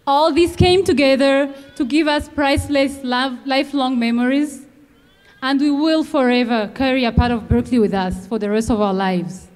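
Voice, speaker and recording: Young woman from rwanda, high voice, slow earnest graduation speech, lots of echo. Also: fairly even intonation, darkish tone. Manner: measured, earnest, emphatic pauses. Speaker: graduating student speaker. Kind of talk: commencement speech. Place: large outdoor ceremony venue. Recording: long reverb from a large venue.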